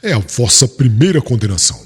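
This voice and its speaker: A man's voice: medium pitch, very animated, fairly quick, husky. A man playing a proud, angry young doctor of the law, stern and pompous, in a dramatised audiobook. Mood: stern, accusing. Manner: stern, pompous, formal courtroom speech. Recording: long hall reverb, music underneath.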